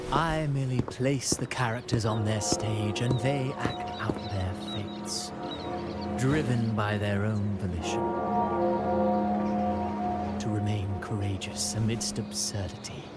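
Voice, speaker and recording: A suave, grandiose villain from a video game, acted by a man, with a mid-range voice. He speaks slowly and pompously like a stage philosopher, over loud music. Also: medium pitch, natural voice. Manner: measured, theatrical, pompous.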